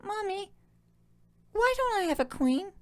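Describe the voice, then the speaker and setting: A man's voice: very high voice, sing-song, unhurried, fairly bright tone, voice pushed up, full character voice. A man voicing a sulky, defiant little girl pony-changeling hybrid in a storybook reading, with a whiny, challenging manner. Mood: uneasy.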